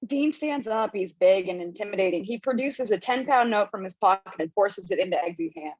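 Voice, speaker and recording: A woman narrating stage directions in an amateur table read over a video call, brisk and quick to laugh. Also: mid-range voice, lively intonation, darkish tone, breathy edge, dry recording, lo-fi sound.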